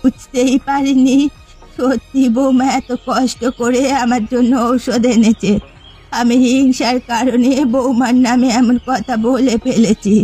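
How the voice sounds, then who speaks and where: A woman playing a jealous, accusing elderly village mother-in-law in a melodramatic cartoon, with slow, emphatic delivery. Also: on the high side, steady tone, dark tone, slightly rough, soft background music. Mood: remorseful, pleading. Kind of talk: melodramatic cartoon family comedy acting.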